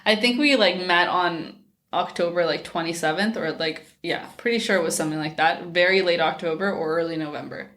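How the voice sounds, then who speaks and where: A woman's voice: lowish voice, fairly quick, fairly bright tone. Bubbly woman in her twenties. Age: 20s. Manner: bubbly, giggly, chatty. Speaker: co-host. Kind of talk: casual couple Q&A chat. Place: small bright room indoors.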